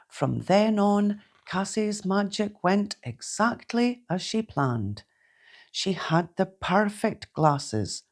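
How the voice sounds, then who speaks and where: Woman storyteller, low pitch, very unhurried, gentle, theatrical, children's read-aloud. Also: breathy edge. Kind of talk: expressive read-aloud bedtime storytelling in rhyme.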